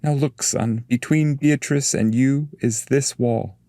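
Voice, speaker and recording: A man's voice: mid-range voice, slow pace, warm tone, no room sound, voice pushed up. A man voicing a wise, fatherly poet guide in a dramatic reading, earnest and coaxing.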